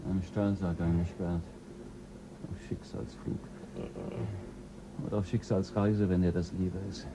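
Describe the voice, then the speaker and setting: A man's voice: low-pitched, flat delivery, normal speed, pauses often, noisy background. A stern, weary spaceship crewman. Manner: commanding, takes charge. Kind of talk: radio play acting.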